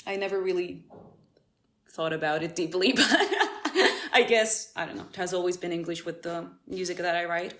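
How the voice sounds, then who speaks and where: A woman's voice: fairly low pitch, fairly even intonation, moderate pace, fairly bright tone. A young woman from Cyprus, a musician chatting in an interview, laughing often and restarting her sentences. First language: Greek. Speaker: musician guest. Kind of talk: casual interview chat.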